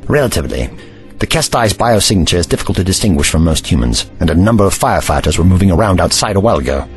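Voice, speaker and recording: A man's voice: low voice, very animated, fast talker, soft background music. A man playing an older, commanding and protective time traveler in an audio drama, speaking formally and precisely in an avuncular way. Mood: measured, wry. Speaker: actor in a sci-fi audio drama.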